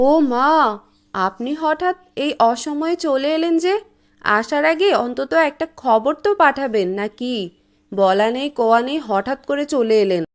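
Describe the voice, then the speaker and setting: A woman playing a selfish, sharp-tongued younger daughter-in-law in a cartoon, brusque and rattling. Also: sits high, unhurried. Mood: put out. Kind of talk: Bengali cartoon voice acting.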